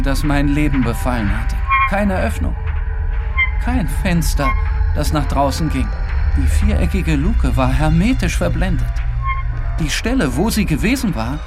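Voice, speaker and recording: Male narrator, very slow delivery, solemn, dramatic pauses, literary audiobook, clear music underneath. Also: medium pitch. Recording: music behind the voice.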